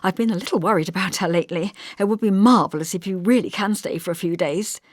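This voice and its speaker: A woman voicing a charming young husband, polite, warm and rather formal, in an audiobook. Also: mid-range voice, fast talker, no room sound, studio quality, close to an everyday voice. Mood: grateful, concerned. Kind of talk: audiobook character voice.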